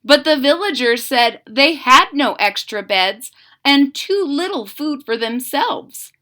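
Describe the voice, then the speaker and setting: Slow, bright-voiced storyteller woman. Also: high voice. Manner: expressive, talks to young listeners. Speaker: teacher reading aloud. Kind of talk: children's picture-book read-aloud.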